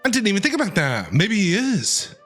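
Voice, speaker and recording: A man's voice: high-pitched, huge pitch swings, a little fast, a bit bright. A man giving casual, reactive live commentary as a game streamer, with slangy remarks. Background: faint game music.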